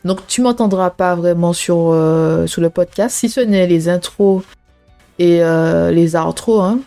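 Low, mellow woman, casual faith podcast. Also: unhurried, vocal fry, soft background music. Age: 30s. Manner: casual and personal, many "euh" and "hein" fillers, preaching tone. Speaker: podcast host. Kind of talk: faith podcast talk addressed to listeners.

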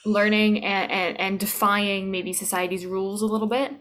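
A woman's voice: mid-range voice, steady tone, moderate pace, a bit bright. A woman chatting casually as an interview guest, with emphatic repeats and checks for agreement.

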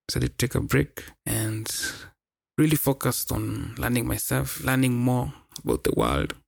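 A man's voice: medium pitch, sing-song, moderate pace, gravelly, no echo. A young man with a Kenyan accent, chatting casually as a solo podcast host in a slangy way, often repeating words for emphasis.

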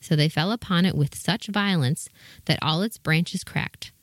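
A woman's voice: lowish voice, unhurried, no room sound. A woman giving scripted narration of a children's storybook tale.

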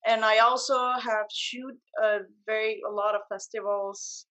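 A woman's voice: a little high, steady tone, a little slow, fairly bright tone, rough recording. Young woman with a Puerto Rican accent. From Puerto Rico. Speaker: guest, photographer and motion graphic designer. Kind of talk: casual interview answers.

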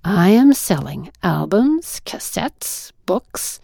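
A woman storyteller voicing an indignant wife turned brisk garage-sale seller, emphatic and dignified. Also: medium pitch, sing-song, creaky, dark tone, no echo, clean studio sound, heavily acted. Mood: brisk, scheming.

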